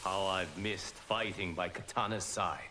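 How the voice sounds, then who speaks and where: Low-voiced, confident fighter. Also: male voice, fairly even intonation, measured pace, noisy background. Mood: wry. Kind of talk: dramatic video game acting. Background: dramatic music.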